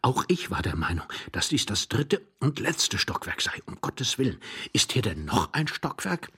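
A man voicing a timid, fussy gentleman in an audiobook, flustered, wordy and desperately pleading. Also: mid-range voice, sing-song, normal speed, husky, voice pushed up, full character voice. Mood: panicked. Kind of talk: audiobook character voice.

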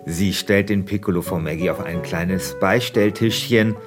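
Male podcast host, lower register, casual script read-through and chat, faint music underneath. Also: moderate pace.

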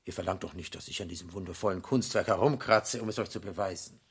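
Husky, low-voiced suave devil. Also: male voice, fast talker, heavily acted. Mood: admiring, self-assured. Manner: polite, smooth-talking, ceremonious. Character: suave, courteous male devil posing as a foreign gentleman. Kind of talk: German radio drama acting.

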